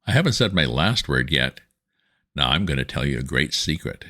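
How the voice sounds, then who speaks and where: Brief: severe older badger voiced by a man, deep, slow, commanding. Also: sing-song, sharp tone, exaggerated voice. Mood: reassuring. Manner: severe, measured, then gruffly kind. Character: stern, respected older male badger.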